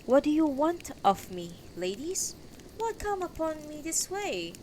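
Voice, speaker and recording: Lady doing an old knight, proud and huffy, formal storybook speech, slow n very animated, noisy sound. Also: high-pitched, pitched above the performer's own voice. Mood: stern, courteous. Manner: courtly, formal, declamatory. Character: proud, indignant elderly male knight of King Arthur.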